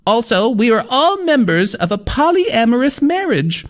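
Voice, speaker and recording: A man's voice: very high voice, big pitch swings, normal speed, voice pushed up. A man voicing an indignant elderly woman who lectures in a haughty, scolding way.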